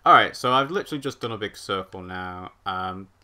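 A man's voice: deep voice, expressive, fairly quick, bright, forceful tone. A man giving casual live game commentary as a streamer, chatty, thinking aloud as he plays. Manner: chatty, reacting aloud and reading chat. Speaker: let's play streamer.

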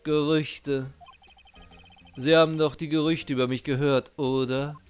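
A man's voice: fairly high pitch, flat delivery, measured pace, clean tone, soft background music, natural voice. Man playing a stern, brooding young prosecutor. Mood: brooding, resigned.

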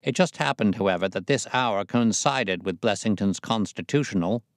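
A man's voice: on the low side, no echo, clean studio sound, close to an everyday voice. A man voicing a shrewd, self-assured detective in an audiobook, reasoning in a formal, incisive manner. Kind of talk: audiobook character voice.

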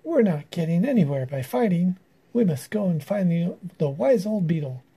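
Man as commanding, husky chief frog. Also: sits high, expressive, moderate pace, mellow, full character voice. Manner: formal, emphatic.

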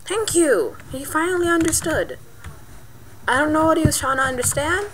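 A woman's voice: high voice, moderate pace, poor audio. A woman giving live gameplay commentary, yelping, pleading and cheering as she plays. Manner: excitable, yelps and pleads mid-game. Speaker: gaming channel host. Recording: clicky keyboard noise. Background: keyboard and mouse clicks.

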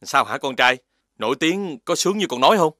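A man voicing a patient father dog in a cartoon, calm and gently lecturing his son. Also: on the high side, brisk, noisy background, natural voice. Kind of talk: cartoon voice acting. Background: background music.

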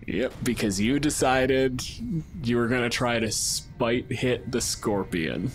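A man's voice: medium pitch, slow pace, faint music behind, low-quality recording. A man acting as game master, casually narrating a tabletop game with a sweary, teasing, informal manner.

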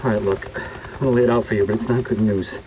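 An actor playing a jittery, guilt-ridden government researcher who stammers and repeats himself, in a video game. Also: male voice, on the low side, fairly even intonation, rapid-fire, background noise, lightly acted. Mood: reluctant. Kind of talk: naturalistic video game acting.

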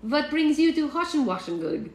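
Woman voicing an eager, high-pitched little German boy. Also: moderate pace, gravelly, heavily acted. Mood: chatty, eager. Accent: attempted German. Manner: animated, giddy, wide-eyed. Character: eager, curious ten-year-old German village boy. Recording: echoey room.

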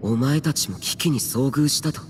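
A man's voice: medium pitch, moderate pace, background noise, close to an everyday voice. A man voicing a youthful, aloof immortal warrior in a Japanese anime game, terse, solemn and archaic in speech. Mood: stern. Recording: music behind.

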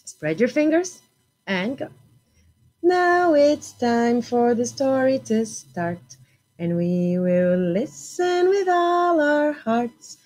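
A woman in her thirties hosting a children's storytime, reading expressively in simple, deliberate phrases. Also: on the high side, lively intonation, darkish tone.

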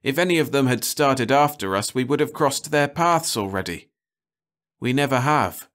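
A man voicing a bitter, despairing male passenger on a lost starship, raising his voice in loud outbursts. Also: mid-range voice, voice pushed up, close to an everyday voice. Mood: flat insistence. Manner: loud, raised, anguished outbursts.

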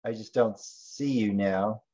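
A man's voice: lowish voice, slow pace, warm tone. A man hosting an online training call, talking through a screen share in an informal, rambling way as he thinks aloud, with lo-fi call audio. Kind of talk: casual screen-share presentation.

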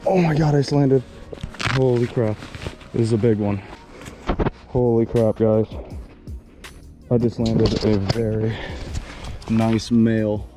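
A young man filming himself fishing a small wooded creek outdoors on an action camera, exclaiming excitedly to viewers as he lands fish. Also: on the low side, slow, pauses often, over music. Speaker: fishing vlogger.

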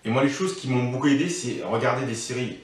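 A man's voice: mid-range voice, fast. Guy in his 30s chatting advice on a couch, lots of restarts, roomy echo. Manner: thoughtful, many restarts and fillers. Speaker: interview guest. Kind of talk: casual advice interview. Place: living room, on a couch. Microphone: far camera mic. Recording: echoey room, from a distance.

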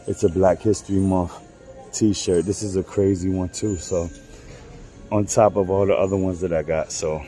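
A middle-aged man chatting casually in a vlog, slangy and informal, with a raspy voice that is wearing out. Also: low voice, fairly even intonation, moderate pace, mellow, soft background music. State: losing his voice. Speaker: vlogger and clothing reseller. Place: in stores, a parked or moving car, and a room at home.

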